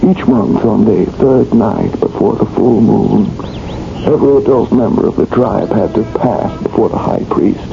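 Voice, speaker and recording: An actor playing an ominous, knowing man who speaks in halting, portentous fashion, in a 1970s radio drama. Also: fairly low pitch, vocal fry. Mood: ominous, solemn. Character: ominous, knowing man who confesses to killing his secret. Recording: muffled 1970s radio broadcast with music, noise.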